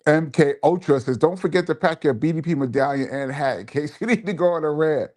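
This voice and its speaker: A man's voice: on the high side, fairly quick, darkish tone. Man hosting a casual live stream. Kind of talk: casual live chat, reading viewer comments and reminiscing.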